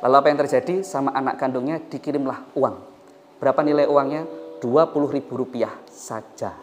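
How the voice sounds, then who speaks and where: Javanese accent guy doing an islamic sermon, storytelling, emphatic n repeats himself, normal mid pitch, music in the back. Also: fairly even intonation, a little fast. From Indonesia. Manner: emphatic, repeats phrases and asks rhetorical questions.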